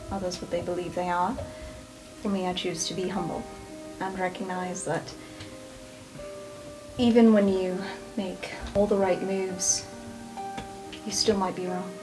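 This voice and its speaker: A woman voicing a humble, philosophical tailed adventurer in a tabletop game, quiet and earnest. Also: on the low side, flat delivery, a little slow, long pauses, over music, natural voice, rough recording. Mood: humble, reserved.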